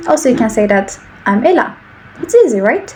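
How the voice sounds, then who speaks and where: A woman in her twenties from Turkey with a Turkish accent, speaking English slowly and carefully as a channel host giving speaking practice. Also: fairly high pitch, big pitch swings, noisy background. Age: 20s. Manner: slow, careful speech with simple sentences. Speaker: English teacher. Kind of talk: slow English practice monologue.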